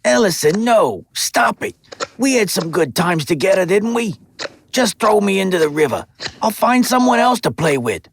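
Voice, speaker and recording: A man voicing a manipulative little cursed wooden doll with shrill, frantic pleading in a horror audio drama. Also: sing-song, normal speed.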